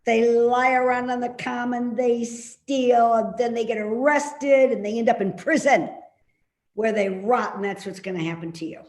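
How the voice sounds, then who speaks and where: A woman's voice: fairly high pitch, fairly even intonation, normal speed, darkish tone, roomy sound, close to an everyday voice. A woman acting a cantankerous, stubborn and suspicious older mother in a play reading, with a querulous, scolding manner. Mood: indignant scolding.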